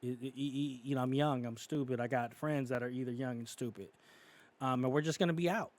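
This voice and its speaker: A man's voice: mid-range voice, fairly even intonation, a little fast. A man from California co-hosting a podcast, talking in a casual, opinionated way with many fillers and restarts. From California, United States. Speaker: podcast co-host.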